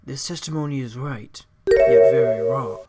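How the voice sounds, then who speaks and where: A man's voice: mid-range voice, normal speed, dark tone, husky, soft background music, close to an everyday voice. A man playing a determined, wry fox defense lawyer in a courtroom audio drama, speaking earnestly. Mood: thoughtful.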